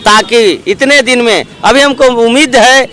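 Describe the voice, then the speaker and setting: Bright, very high-pitched male monk. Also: measured pace. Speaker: Buddhist monk and campaigner. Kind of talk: spoken report in a street interview.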